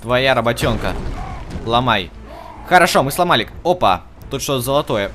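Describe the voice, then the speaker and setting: A man's voice: medium pitch, animated, moderate pace, a bit bright. A man hosting a gaming video with casual let's play commentary, thinking aloud and talking to the characters on screen. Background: music behind the voice.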